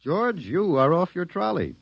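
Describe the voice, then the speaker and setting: An actor playing a bookish captive anthropologist, dry, flippant and resigned, in 1950s radio drama style. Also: male voice, high voice, very animated, unhurried, gravelly, husky, no room sound, exaggerated voice. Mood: wry, flippant. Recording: dull, band-limited 1950s radio recording.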